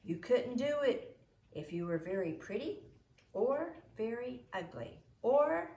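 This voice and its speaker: Lady reading a kids book out loud, slow and really expressive, emphatic, echoey room. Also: on the high side. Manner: deliberate, emphatic storytelling. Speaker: storybook reader. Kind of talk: expressive read-aloud narration.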